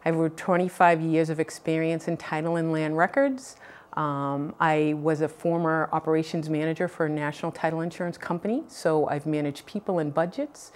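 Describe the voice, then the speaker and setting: A woman's voice: sits low, darkish tone. Earnest middle-aged woman candidate. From Boston. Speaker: political candidate. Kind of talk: TV interview answers. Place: TV news studio. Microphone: lapel mic.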